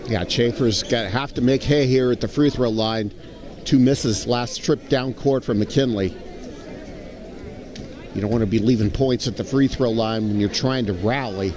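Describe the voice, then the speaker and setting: A man's voice: medium pitch, normal speed. A man giving live basketball commentary and analysis as part of a broadcast team. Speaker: sports commentator, game analyst. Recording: noisy gym with audible crowd. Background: crowd and gym noise.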